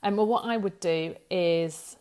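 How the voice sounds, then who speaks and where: A woman's voice: lowish voice, a little slow, a little mellow. A woman giving an informal explanatory talk as a legal expert, thinking aloud and correcting herself as she goes. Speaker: data protection law expert presenting an explainer.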